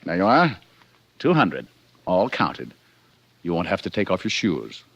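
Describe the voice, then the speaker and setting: An actor playing a slick, sharp-tongued male private detective with a glib, sardonic manner in 1940s radio drama style. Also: sits low, wildly swooping pitch, unhurried, highs cut off, slight background noise, full character voice. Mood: dry, mocking.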